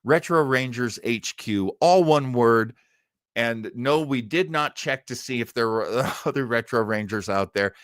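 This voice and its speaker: A man's voice: medium pitch, measured pace, clear, resonant tone, dry recording, clean studio sound. A middle-aged or older American man hosting a live online show, chatting casually with rambling, chuckling asides. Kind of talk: casual livestream chat and announcements.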